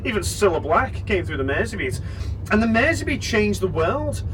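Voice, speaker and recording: A man with a Liverpool accent leads a guided bus tour, talking in a chatty, jokey way and stirring up the crowd. His voice sits fairly high for a man. He sounds set back from the mic, with heavy bus noise underneath. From Liverpool, England. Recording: distant voice with loud bus noise.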